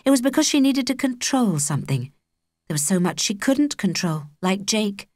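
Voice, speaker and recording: A woman with a British accent reading a children's story as an expressive audiobook narrator. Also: mid-range voice, normal speed, no echo, studio quality.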